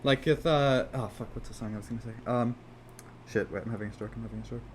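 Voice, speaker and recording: A man casually chatting on a game development live stream, rambling and swearing easily, with a somewhat monotone voice. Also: medium pitch, quick pace, background noise. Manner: rambling, laid-back, swears casually, frequent "like" fillers.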